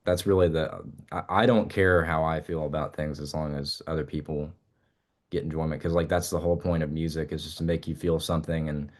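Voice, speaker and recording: A man's voice: rumbling low, flat delivery, a little fast. A young man, a musician guest, chatting casually in an interview and rambling with many fillers and restarts.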